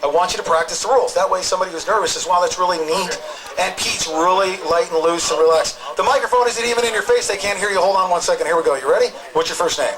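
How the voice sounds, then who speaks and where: A man's voice: high-pitched, a crowd in the background, poor audio. A man hosting an outdoor rally, joking and calling up speakers one after another. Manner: upbeat, joking, fast-talking. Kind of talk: live rally emcee chat. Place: outdoor protest crowd.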